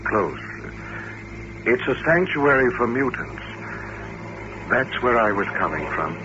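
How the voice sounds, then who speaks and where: An actor in a 1950s radio drama playing a lonely, quietly insistent male mutant hitchhiker who controls minds. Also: mid-range voice, very animated, slow pace, highs cut off. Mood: guarded, probing. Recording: noisy, band-limited vintage radio recording.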